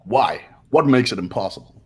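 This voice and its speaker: A man playing a husky, arrogant young genius. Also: mid-range voice, lively intonation, normal speed. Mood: certain, accusing. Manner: haughty, declarative. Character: arrogant, cold, accusing young rich genius. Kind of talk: amateur game dub, dramatic reading.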